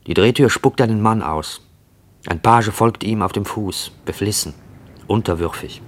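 A low male voice tells a radio play from the inside. An actor plays a haunted, watchful man, brooding and reflective as he narrates his thoughts. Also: moderate pace, slight background noise, natural voice. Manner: brooding, reflective inner monologue.

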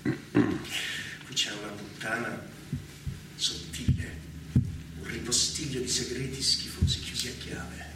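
An actor playing a jealous general in a stage tragedy, swinging from hushed menace to shouting at his wife. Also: medium pitch, fairly even intonation, takes it slowly, gravelly, pauses often. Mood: bitter contempt. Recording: very echoey, distant, noisy stage recording.

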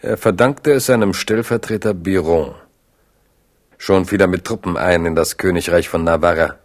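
Male narrator, low pitch, measured, literary storytelling, radio play.

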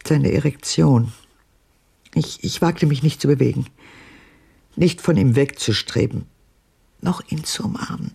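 An elderly woman in a radio drama, haunted by her memories. Her voice is very raspy and breathy, with heavy creak. She speaks haltingly and hushed, weighing each phrase. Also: a bass voice, heavily acted. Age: older. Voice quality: husky, gravelly, breathy. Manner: halting, hushed, deliberate delivery. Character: haunted old woman recalling her father's abuse, halting.

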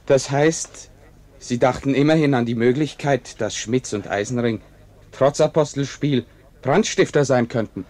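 An actor playing a politely sceptical radio presenter in a radio play, measured, probing and dryly ironic. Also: male voice, mid-range voice, a little mellow, close to an everyday voice. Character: cool, politely sceptical male radio host. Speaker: radio announcer and interviewer.

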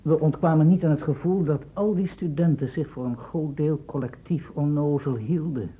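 An actor playing an earnest, concerned male investigator in a radio drama, with a husky voice and careful, formal speech. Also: a little high, moderate pace. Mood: earnest, thoughtful. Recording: muffled phone-like old radio recording.

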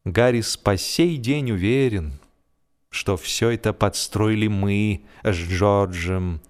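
A man reading a humorous story as an expressive, scripted narration, in a measured, theatrical storytelling manner. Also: on the low side. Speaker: audiobook narrator. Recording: music behind the voice. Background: music.

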